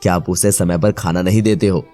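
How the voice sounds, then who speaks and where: Man playing a stern cartoon doctor, very low dark voice, grave questioning manner, music behind. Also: fairly even intonation, brisk, close to an everyday voice. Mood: grave concern. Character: stern, concerned male doctor. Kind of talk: animated moral story dialogue.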